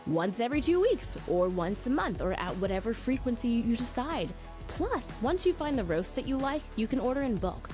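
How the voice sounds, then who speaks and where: A woman reads a sponsor spot in a bubbly, chatty tone at a middle pitch. Faint music plays underneath her. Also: darkish tone. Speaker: ad reader. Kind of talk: sponsor read.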